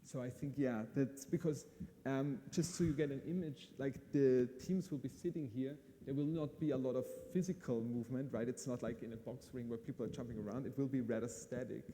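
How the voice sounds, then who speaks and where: Mellow Austrian man hosting in a hall. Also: medium pitch, a little fast. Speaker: event host. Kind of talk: live on-stage interview, informal and conversational. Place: large hall at a live event in Austria. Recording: hall reverb, audible room noise.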